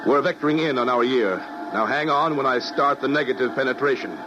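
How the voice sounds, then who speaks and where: An actor playing an excitable, driven scientist piloting a time machine, urgent and theatrical, in an old-time radio drama. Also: male voice, mid-range voice, fairly quick, close to an everyday voice. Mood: tense, urgent. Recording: dull, very noisy old radio recording.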